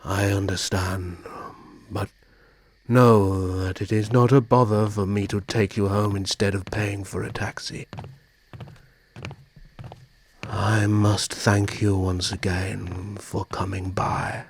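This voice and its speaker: A man playing a brooding, courteous immortal vampire prince in a dramatic audio roleplay, speaking formally and measuredly in a husky, breathy voice. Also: lowish voice, little pitch movement, takes it slowly, dry recording, exaggerated voice. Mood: courteous, gentle.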